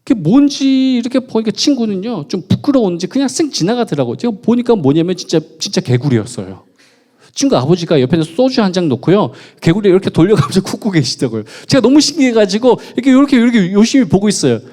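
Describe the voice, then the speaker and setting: Playful, lively-voiced pastor. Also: male voice, sits high, fast. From Gunwi, North Gyeongsang, South Korea. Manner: playful, chatty, jokes and quizzes the audience. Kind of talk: sermon with congregation interaction. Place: church during a midweek service.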